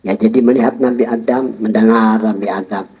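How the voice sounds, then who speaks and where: A man giving a Sufi study-circle lecture, explaining calmly and chuckling while he addresses his listeners. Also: on the low side, fairly quick. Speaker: religious teacher. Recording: dull lo-fi recording.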